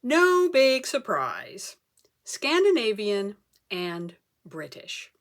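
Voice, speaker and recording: A woman performing a comic story as a speech, theatrical, with dramatic pauses and emphasis. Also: a little high, big pitch swings. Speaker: club speaker telling a humorous tall tale.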